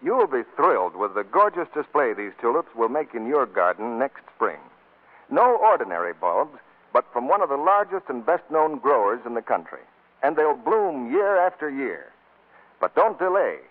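Animated male 1940s radio announcer, muffled. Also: mid-range voice, moderate pace. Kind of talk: scripted 1940s sponsor commercial. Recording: muffled vintage radio broadcast.

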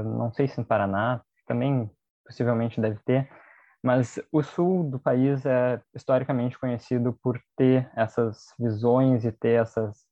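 A man from Brazil, a podcast guest who speaks in a measured, thoughtful way. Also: medium pitch, a little mellow, no room sound. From Rio Grande do Sul, Brazil. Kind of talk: casual podcast conversation. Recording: dry, slightly dull audio.

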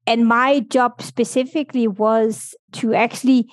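A woman's voice: on the high side, steady tone, a little slow, dry recording. Woman answering thoughtfully, dry sound. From Denmark. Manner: thoughtful, with frequent restarts and fillers. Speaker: podcast guest. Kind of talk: reflective interview answers.

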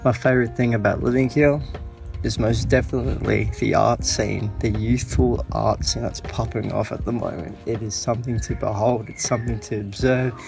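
A man's voice: medium pitch, steady tone, normal speed, creaky, music in the background. A man from Western Australia, calling in with enthusiastic, slangy praise. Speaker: listener calling in.

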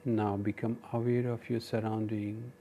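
Indian guy doing guided meditation, flat mellow voice, short calm instructions w pauses, bit of background noise. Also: fairly low pitch. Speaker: meditation teacher and podcast host.

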